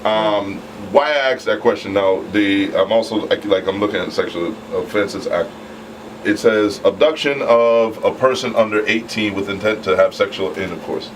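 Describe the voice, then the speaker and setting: Low man, distant and noisy. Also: moderate pace. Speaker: talk show co-host. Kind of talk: casual questioning. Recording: distant mic, noisy room air.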